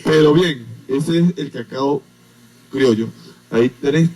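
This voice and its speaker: A man from Venezuela, an agronomist explaining things as a guest in a radio interview, unhurried and full of hesitations. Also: mid-range voice, darkish tone, poor audio.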